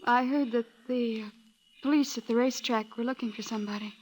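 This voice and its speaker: An actress playing a gentle, lovestruck young small-town woman, soft, hesitant and earnest, in old-time radio style. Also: a little high, fairly even intonation, a little fast, a little hiss or hum, close to an everyday voice. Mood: hesitant, careful.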